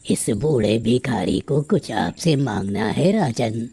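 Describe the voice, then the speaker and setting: A man voicing a humble but insistent old beggar, pleading slowly in a fairy tale. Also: medium pitch, animated, gravelly, mellow, breathy edge, no room sound, full character voice.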